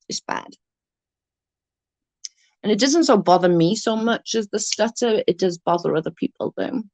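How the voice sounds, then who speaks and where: Creaky-voiced woman who stutters. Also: lowish voice, dry recording. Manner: rambling, halting, often restarts and repeats words. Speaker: livestream host reading chat. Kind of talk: casual livestream chat.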